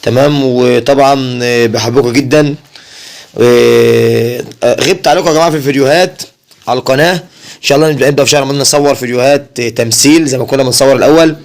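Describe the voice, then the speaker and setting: A man's voice: mid-range voice, rapid-fire. A man with an Egyptian accent chatting casually to viewers as a family vlogger, often repeating himself and addressing them directly.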